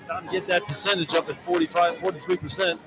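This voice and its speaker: A man's voice: high-pitched, steady tone, quick pace, music in the background, a crowd in the background. A man working as a radio color commentator at courtside, analyzing basketball in plain, conversational language. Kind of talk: live basketball analysis between plays. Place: courtside in an indoor arena.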